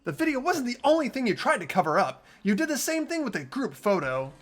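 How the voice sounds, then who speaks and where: Man as high-voiced earnest teenage boy detective. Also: very animated, brisk, heavily acted. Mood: accusing. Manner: insistent, accusatory. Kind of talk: amateur video game voice acting.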